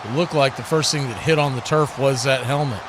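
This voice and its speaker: A man's voice: medium pitch, a little fast, background noise. A man doing live radio-style football play-by-play as the announcer.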